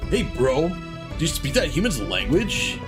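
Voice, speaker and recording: A man's voice: on the high side, very animated, a little slow, music in the background, pitched above the performer's own voice. A man voicing a young, bro-talking male video game character while reading out the dialogue. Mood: curious.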